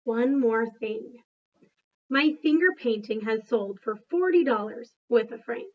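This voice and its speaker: Female read-aloud storyteller, higher pitch, expressive delivery, somewhat dull lo-fi capture. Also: unhurried. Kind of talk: expressive storybook reading with brief asides.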